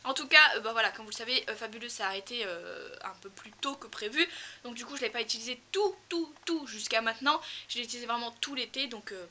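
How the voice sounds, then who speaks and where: Quick, bright young woman with a stuffy nose. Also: on the high side, slight background noise. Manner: chatty, repeats words for emphasis. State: congested, nasal from a cold. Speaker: equestrian vlogger. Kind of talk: casual product review vlog. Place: outdoors in a stable tack room, cold.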